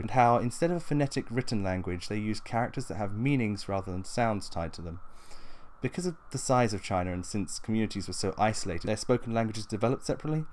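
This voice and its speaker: A man's voice: lowish voice, a little mellow, slight background noise. A man hosting a tabletop gaming explainer, narrating in a matter-of-fact, instructional way from loosely scripted notes. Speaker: tabletop gaming video host.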